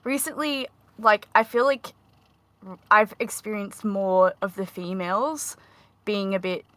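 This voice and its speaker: Woman on a discord-style panel, high bright voice, lots of likes and ums, dry close sound. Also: measured pace, dry recording. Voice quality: high-pitched voice. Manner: hesitant, many fillers and restarts. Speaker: panel guest. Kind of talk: casual panel discussion.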